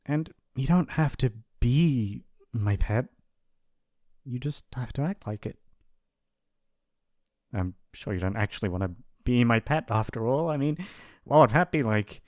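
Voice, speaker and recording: A man's voice: medium pitch, lively intonation, a little slow, a little mellow, no echo, clean studio sound, lightly acted. A man playing a shy, apologetic young mafia boss's son in an intimate ASMR roleplay, with a hesitant, rambling, awkward delivery. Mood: tentative, teasing.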